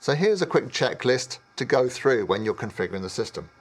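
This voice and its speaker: A man in his fifties presenting product training in a measured, explanatory way with some hesitation. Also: medium pitch, big pitch swings. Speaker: technical trainer.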